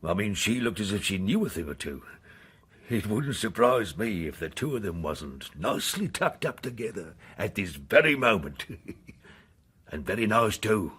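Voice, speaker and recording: An actor playing an earthy, leering old sea captain in a radio drama, talking in a colloquial, teasing, insinuating way. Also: male voice, fairly low pitch, big pitch swings, normal speed, fairly bright tone, breathy edge, full character voice. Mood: sly, teasing.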